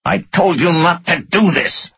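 A man voicing a furious, sarcastic finance director who shouts and swears at his boss in an audiobook. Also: medium pitch, expressive, normal speed, slightly rough, no echo, dull audio, voice pushed up. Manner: shouting, sarcastic, incredulous, profane.

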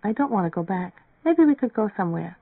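Narrator playing a young woman, girlish raised pitch, weary, restless, murmuring, plaintive, audiobook dialogue, thin band-limited sound. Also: sing-song, quick pace, creaky, no echo, narrow, tinny audio. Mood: drowsy, wondering.

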